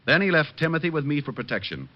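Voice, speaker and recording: A man's voice: fairly high pitch, big pitch swings, fast. A man playing a cool, wisecracking private detective, breezy and sardonic, in an old radio drama. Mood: assured, wry. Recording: muffled old radio recording.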